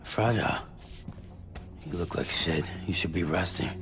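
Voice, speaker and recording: A man playing a gruff, weary, stubborn courier hero in a video game, terse and muttering with a gravelly voice. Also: low voice, measured pace, music in the background. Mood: gruff, disbelieving. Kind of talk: video game cutscene dialogue.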